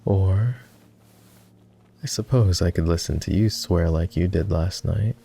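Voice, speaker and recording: A man playing a flirty, courtly vampire aristocrat in an intimate roleplay ASMR, with refined, formal, teasing speech in a low, gravelly murmur. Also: measured pace, warm tone, full character voice. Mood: amused, casual. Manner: refined, formal diction with playful teasing and sighs.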